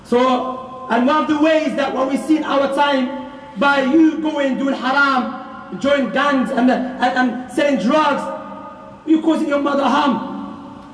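A man in his thirties giving a Friday sermon in a mosque hall, speaking with passion and often rising to a shout. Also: very high-pitched, steady tone, slow pace. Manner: impassioned, often raises voice to a shout. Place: mosque prayer hall with plain walls. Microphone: handheld mic on stand, filmed from across room. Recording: echoey hall, distant mic.

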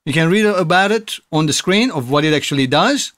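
A man in his thirties giving a casual software tutorial, explaining things step by step. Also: fairly high pitch, expressive, fairly quick, dry recording. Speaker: tutorial presenter.

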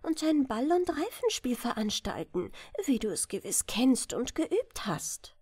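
A gracious young storybook princess, as a woman reader plays her. Her voice is high, light and delicate, and she speaks slowly with courtly politeness and sweet wonder. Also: big pitch swings, darkish tone, husky, dry recording, heavily acted. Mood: gracious, polite. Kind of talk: storybook character reading.

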